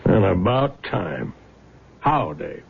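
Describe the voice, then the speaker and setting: An actor playing a plain-spoken older small-town father in a radio drama, blunt and folksy, with a gravelly, husky voice. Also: sits low, sing-song, takes it slowly, slight background noise, heavily acted. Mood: blunt, concerned. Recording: muffled old radio drama recording.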